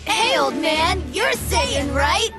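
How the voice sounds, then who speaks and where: An actress voicing a boisterous, bratty little boy fighter, bragging and excitable, in an English anime game dub. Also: very high voice, slow pace, exaggerated voice. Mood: pestering, cheeky. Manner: bragging, excitable, childish sound effects. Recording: loud music over the voice.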